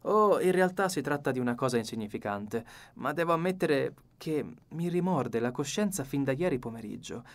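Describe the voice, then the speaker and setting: A man's voice: medium pitch, lively intonation, normal speed, hardly any pauses, natural voice. A man reads as a likeable young male secretary in a mystery audiobook. He is cheerful and frank, talking in a breezy, open way. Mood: confessing. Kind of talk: acted audiobook dialogue.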